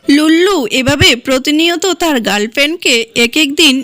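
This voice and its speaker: A woman's voice: high voice, fairly quick, bright, forceful tone, no room sound. A woman reading scripted narration for a cartoon story as its narrator.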